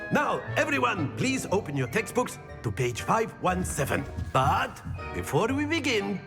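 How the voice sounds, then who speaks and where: High-voiced, swooping theatrical male professor. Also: heavily acted. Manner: theatrical, grandiloquent, drawn-out phrasing. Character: exuberant theatrical middle-aged male charms professor at wizard school. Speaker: charms teacher. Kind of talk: video game character acting.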